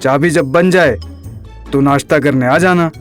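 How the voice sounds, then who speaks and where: A man's voice: fairly high pitch, unhurried, soft background music, close to an everyday voice. A man playing a fussy middle-aged father in a comic audio drama. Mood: teasing, chatty.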